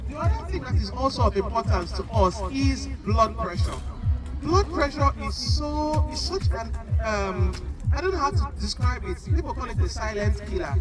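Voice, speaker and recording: A woman's voice: fairly high pitch, lively intonation, moderate pace, over loud music, poor audio. A Nigerian woman, a native Igbo speaker, giving an informal health talk as a doctor in an emphatic, conversational way. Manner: emphatic, conversational, repeats words for stress. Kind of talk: informal public health talk to a community group.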